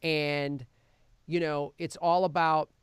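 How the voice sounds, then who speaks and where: A man with a slightly high voice hosts a casual film podcast in a dry studio. He retells things in an animated, sweary way and slips into acted-out quotes. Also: slow pace, clear, resonant tone, no echo. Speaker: podcast host reviewing films. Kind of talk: casual film review chat.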